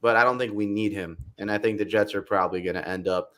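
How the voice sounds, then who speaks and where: A young man with a loud voice, talking casually as a podcast guest, animated and quick in bursts. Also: on the low side. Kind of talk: casual chat.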